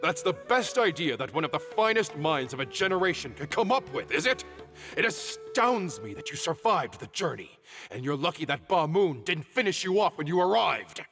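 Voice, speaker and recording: Scathing older game academic: animated, sarcastic, long hall reverb. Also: male voice, a little high, normal speed, soft background music, exaggerated voice. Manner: sarcastic, scolding. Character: stern, scathing older male academic master. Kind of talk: video game dialogue.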